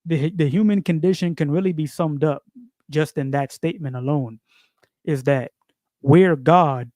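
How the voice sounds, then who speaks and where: A man's voice: on the high side, normal speed, darkish tone, dry recording. Rambling New man, dry close sound. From New York. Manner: rambling, repeats phrases, frequent fillers and slang. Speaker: video creator. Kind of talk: casual spiritual monologue.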